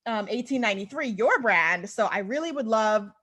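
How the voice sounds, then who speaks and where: A woman's voice: fairly high pitch, lively intonation, normal speed, fairly bright tone. Enthusiastic Bahamian woman podcast host. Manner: enthusiastic, laughs often. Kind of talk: casual interview chat.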